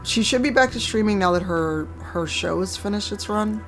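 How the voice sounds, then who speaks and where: A woman streaming a game, chatting casually with a hoarse, croaky voice and a wry, chatty manner. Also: mid-range voice, moderate pace, soft background music. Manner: chatty, wry, reads chat aloud. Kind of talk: casual live-stream chat while gaming.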